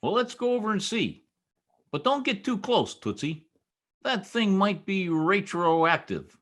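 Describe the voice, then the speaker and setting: A man's voice: high voice, very animated, unhurried, a bit bright, heavily acted. A man playing a boastful, folksy small-town husband full of slangy bluster in an old-time radio comedy. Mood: bossy. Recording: video call recording.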